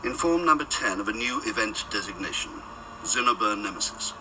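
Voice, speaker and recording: A man acting in a radio drama as a grave department chief, speaking in a formal, measured, authoritative way. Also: lowish voice, lively intonation, distant mic, background noise, close to an everyday voice. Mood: commanding, ominous. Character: grave, commanding male secret department chief.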